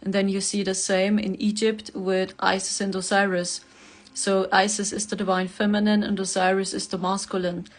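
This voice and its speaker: A woman's voice: mid-range voice, little pitch movement, moderate pace. A woman whose first language is German, hosting a casual spiritual livestream, reading viewer comments and laughing often. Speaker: spiritual reader, livestream host.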